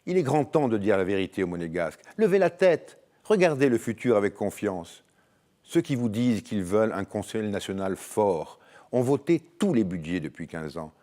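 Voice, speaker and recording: A man's voice: fairly low pitch, dark tone. A man in his sixties giving a firm, insistent campaign address as a candidate. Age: 60s.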